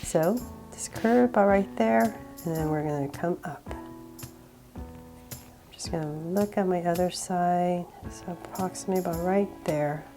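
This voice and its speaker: A woman with a cold guiding viewers step by step through a drawing, relaxed and laughing a little at her own slips. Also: lowish voice, measured pace, soft background music. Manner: relaxed, with small laughs and self-corrections. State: sick, voice a bit off. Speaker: drawing tutorial host.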